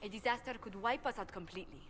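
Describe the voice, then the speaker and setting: A woman's voice: medium pitch, fairly bright tone, slight background noise, lightly acted. An actress voicing an anxious, refined noblewoman in a video game, speaking formally and earnestly. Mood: troubled, indignant. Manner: formal, earnest, measured phrasing. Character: anxious, refined noblewoman burdened by family debt. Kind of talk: video game dialogue acting.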